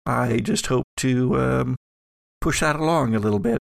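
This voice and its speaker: A man's voice: medium pitch, unhurried, vocal fry, dry recording, clean studio sound. A man voicing an ancient female spirit made of ectoplasm in an audio drama game, musing evasively and searching for words. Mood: coy, evasive.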